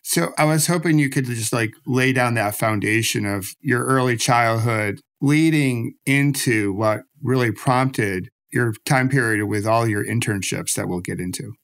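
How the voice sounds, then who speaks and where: A man's voice: medium pitch, unhurried, vocal fry, few pauses. Chatty man hosting a podcast. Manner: relaxed, chatty, frequent fillers like "like". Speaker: podcast host. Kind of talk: casual interview chat. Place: home office.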